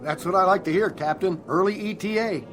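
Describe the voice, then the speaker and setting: An actor playing an eager young-spirited airline co-pilot in a radio drama. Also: male voice, sits high, fairly quick, close to an everyday voice. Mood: upbeat. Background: faint music.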